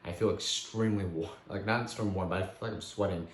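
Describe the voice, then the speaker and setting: A man with a voice still hoarse from a cold, casually reviewing a board game at home and rambling with many self-corrections. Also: sits low, fairly even intonation, quick pace, echoey room, a little hiss or hum.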